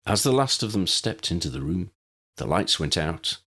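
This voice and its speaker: A man's voice: sits low, sing-song, moderate pace, sharp tone, breathy edge. A man reading a story aloud as a scripted audiobook narration.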